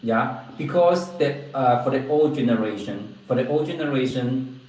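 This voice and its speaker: A man from southern China with a southern Chinese accent, a native Chinese speaker, hosting a casual livestream and replying to viewers, often halting and repeating phrases as he searches for words. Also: medium pitch, a little mellow. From Guangdong, southern China. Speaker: livestream host. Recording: very echoey room, distant mic.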